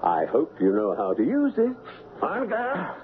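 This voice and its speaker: A man's voice: on the high side, huge pitch swings, a little slow, phone-quality sound. A man playing a reckless, mocking swashbuckling villain with a taunting, sardonic manner in a radio drama, on an old noisy recording.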